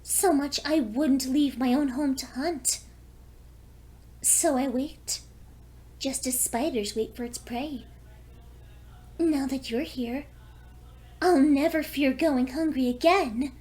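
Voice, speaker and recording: A woman's voice: high voice, slow pace, long pauses, a little mellow, pitched above the performer's own voice. A woman voicing a seductive, lonely vampire pony with a courteous, teasing manner in a fan fiction audio story. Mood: coolly predatory.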